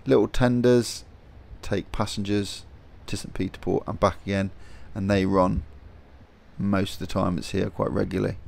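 Man, lowish pitch with some fry; relaxed, chatty vlog host filming outside on a phone. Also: normal speed. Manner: relaxed, chatty, thinking aloud with fillers. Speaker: vlogger. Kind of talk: casual vlog narration.

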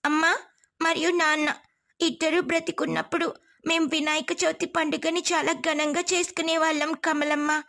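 A woman voicing a gentle, sorrowful young orphan girl in an earnest, pleading way for a story. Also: high voice, little pitch movement, moderate pace, creaky, pitched above the performer's own voice.

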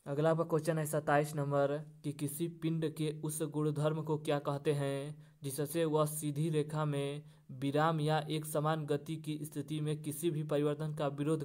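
A man with a fairly high voice works through an exam lesson. His intonation stays flat as he reads each question and follows it with the answer in the same repeated pattern. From India. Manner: formulaic, repetitive question-then-answer delivery. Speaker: exam-prep teacher.

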